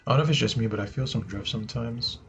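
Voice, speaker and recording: A man with a naturally low voice chatting casually as he streams a game, often asking "right?" and addressing viewers as guys. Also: racing, highs cut off, soft background music. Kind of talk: casual gameplay commentary. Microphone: desk mic he moves around.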